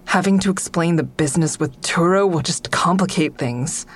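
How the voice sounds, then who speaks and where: Low-voiced, guarded, sardonic woman played by an actress. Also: moderate pace, natural voice. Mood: tense, brooding. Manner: sardonic, exasperated. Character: guarded, agitated woman narrating her police questioning, sardonic. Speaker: narrator and lead character. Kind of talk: first-person audio drama narration and dialogue.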